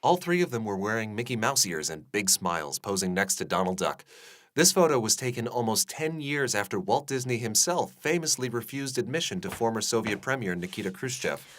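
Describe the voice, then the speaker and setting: An actor playing an earnest, idealistic investigative journalist with an American accent, narrating and interviewing in a radio drama. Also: male voice, fairly low pitch, big pitch swings, a little fast, a bit bright. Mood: reflective.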